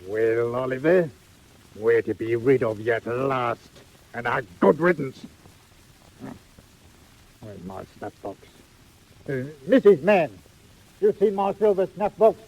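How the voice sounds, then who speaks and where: An actor playing a self-important, impatient British workhouse master, curt and blustering, in a 1940s audio drama. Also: male voice, medium pitch, expressive, slow pace, pauses often, darkish tone, lo-fi sound. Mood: smug, then puzzled. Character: pompous, gruff, impatient middle-aged male workhouse master.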